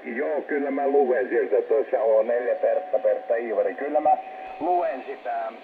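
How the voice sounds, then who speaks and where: Man on crackly, narrow shortwave radio. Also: mid-range voice. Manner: rambling, repeats his words. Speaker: amateur radio operator. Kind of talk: casual ham radio contact. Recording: tinny shortwave radio transmission, heavy static. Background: loud radio static.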